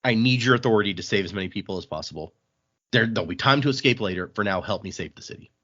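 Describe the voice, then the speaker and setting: A man's voice: lowish voice, lively intonation, racing, sharp tone, dull audio. A man voicing an earnest, insistent woman who is a meticulous planner, in a podcast retelling. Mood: earnest pleading. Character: earnest, meticulous female planner pleading with officials.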